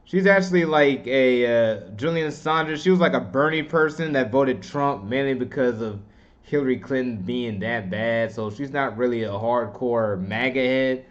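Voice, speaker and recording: A man's voice: mid-range voice, moderate pace. A man giving casual, opinionated political commentary in a rambling, slangy, informal way. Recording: dull, muffled lo-fi audio.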